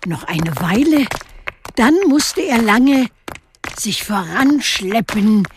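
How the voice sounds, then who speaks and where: An actress playing a storyteller who recites a rhymed legend in a measured storybook way for a radio play. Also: female voice, on the high side, lively intonation, noisy background. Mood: measured storytelling. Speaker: narrator. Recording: clear music under the voice.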